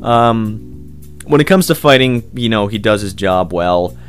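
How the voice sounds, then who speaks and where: Low-voiced man chatting casually over music. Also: lively intonation, measured pace. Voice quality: loud voice. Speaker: amateur voice actor being interviewed. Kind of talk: casual unscripted interview answers. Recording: music playing behind the voice.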